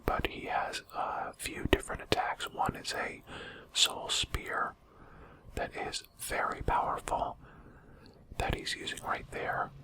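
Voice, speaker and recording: A man speaking in a breathy whisper, giving quiet, explanatory commentary on a video game as a channel host. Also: rough recording.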